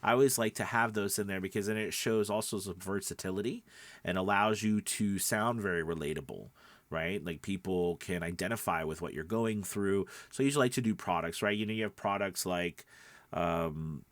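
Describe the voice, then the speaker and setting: A man's voice: low voice, moderate pace, no echo. A man with a stuffed-up nose giving an informal, conversational lesson as a voice-over coach, speaking emphatically and often checking in with the listener. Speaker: voice-over coach and podcast host. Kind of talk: informal instructional talk to camera.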